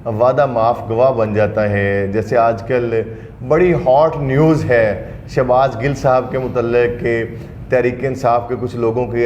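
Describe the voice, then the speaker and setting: A man's voice: medium pitch, echoey room, slight background noise. A male interview host asking long, winding questions about legal procedure.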